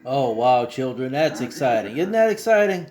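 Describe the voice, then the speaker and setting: A man hosting a casual monologue to his viewers in a folksy, playful way, halting and repeating himself as he goes. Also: mid-range voice, very animated, over music. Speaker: host.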